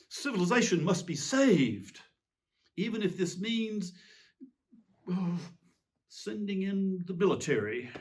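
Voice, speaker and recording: A man's voice: high voice, fairly bright tone, voice pushed up, heavily acted. A man playing a weary, self-pitying tyrant king in a theatrical monologue, fussy, pompous and plaintive. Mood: weary, exasperated. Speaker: reader giving a dramatic reading. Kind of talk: theatrical dramatic monologue reading.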